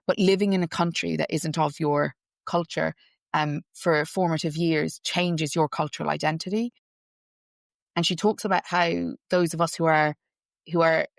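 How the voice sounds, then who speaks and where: A woman's voice: lowish voice, vocal fry, no echo, clean studio sound. A woman raised between Ireland and London, with an accent that mixes Irish and English, speaking thoughtfully as an interview guest with frequent restarts. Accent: Irish-English mix, heard as English in Ireland. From Ireland, raised partly in London. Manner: thoughtful, many restarts and fillers. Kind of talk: reflective conversational interview.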